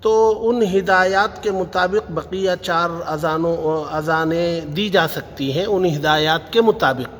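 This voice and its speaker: High-voiced Indian man in a reverberant hall. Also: steady tone, slow pace, hardly any pauses, a bit bright. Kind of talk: explanatory public statement. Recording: long hall reverb, faint noise.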